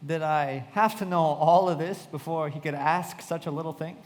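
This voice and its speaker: A man's voice: on the high side, fairly even intonation, normal speed, a little mellow, close to an everyday voice. An actor playing an earnest, curious young man who also narrates a live radio play, recorded in an echoey hall. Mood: hesitant. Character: curious, naive young man narrating his own story. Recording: echoey hall with long reverb.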